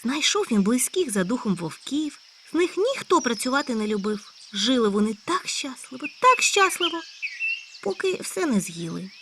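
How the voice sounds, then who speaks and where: A woman voicing an excitable, chatty male hare in a storybook tale, talking high and loud. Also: moderate pace, background noise. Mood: eager. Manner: eager, exclaiming, high and loud. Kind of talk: storybook character voice.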